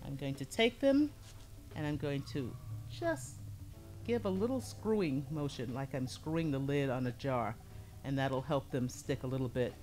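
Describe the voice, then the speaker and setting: A middle-aged woman with a low voice teaching a hands-on pottery lesson in a studio, explaining each step calmly as she works. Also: moderate pace, soft background music. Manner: calm, explains step by step while working with hands. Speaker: ceramics teacher.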